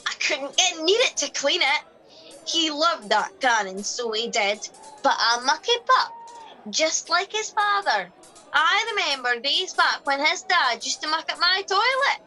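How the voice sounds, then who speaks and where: Very high, animated Scottish cleaner. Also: female voice, slow, long pauses, soft background music, full character voice, low-quality recording. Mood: indignant, gossipy. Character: blunt, no-nonsense Scottish cleaning woman to royalty. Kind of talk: radio comedy play acting.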